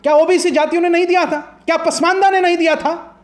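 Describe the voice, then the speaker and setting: A man's voice: extremely high pitch, little pitch movement, quick pace. A man arguing as a political commentator in a heated TV debate, impassioned and combative, firing off rhetorical questions.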